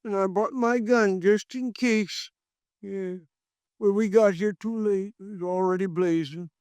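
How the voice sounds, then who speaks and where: Casting: an old yard hand, high and cracked, drawling slowly, dry studio. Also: male voice, fairly even intonation, a little mellow, clean tone, dry recording. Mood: grieving. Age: older. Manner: slow rural speech, halting and grieving. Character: frightened old male lumberyard hand, loyal, vengeful. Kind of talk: 1950s radio drama acting.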